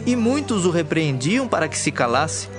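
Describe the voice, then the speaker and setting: A man's voice: a little high, very animated, normal speed. A man with a Brazilian accent narrating scripted, dramatized scripture. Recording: clear music bed behind the voice.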